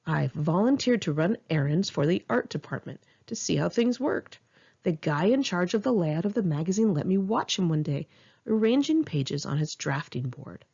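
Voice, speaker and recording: A woman's voice: lowish voice, vocal fry, a little mellow, husky, highs cut off. A woman reading her own memoir aloud in an informal audiobook style, candid, wry and conversational. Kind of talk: informal first-person audiobook narration with asides.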